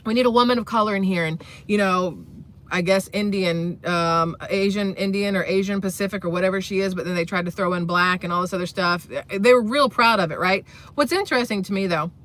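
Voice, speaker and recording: A woman with a bright, boyish voice talks through a rambling commentary vlog from her computer at home. She is blunt, sarcastic and freely profane. Her pitch sits in a middle range for a woman. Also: fairly quick. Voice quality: boyish-sounding voice. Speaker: commentary channel host.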